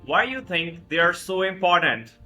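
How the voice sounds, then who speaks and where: Bright-voiced male podcast host. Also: sits high, sing-song, normal speed, soft background music. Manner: formal, hesitant with fillers. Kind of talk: podcast hosting, introducing guest.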